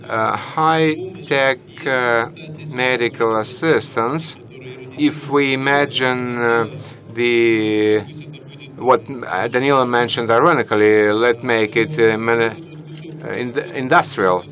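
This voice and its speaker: Man, pushing his voice low. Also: fairly even intonation, a crowd in the background. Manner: deliberately speaks in a lower voice than his natural one; measured, with fillers. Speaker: audience member. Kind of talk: question from the floor. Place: conference hall, standing among the audience. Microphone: handheld microphone.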